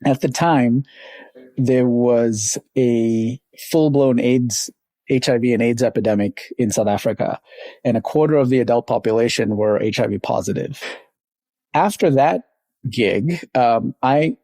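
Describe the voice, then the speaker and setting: A man's voice: mid-range voice, measured pace, dark tone, dry recording. A middle-aged man from Sri Lanka, a company founder telling his life story in a reflective, rambling way as an interview guest. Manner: reflective, rambling, with little laughs.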